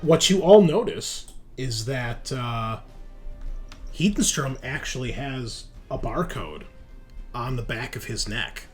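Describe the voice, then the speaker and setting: A man's voice: mid-range voice, expressive, takes it slowly. Man running a tabletop game over music. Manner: informal, improvised descriptions with asides. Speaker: game master. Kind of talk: casual narration of a tabletop game. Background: background music.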